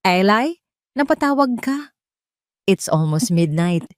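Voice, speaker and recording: A woman's voice: medium pitch, expressive, slow, no echo. Female narrator as loyal male lawyer friend, concerned and protective, dry audio drama sound. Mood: sleepy.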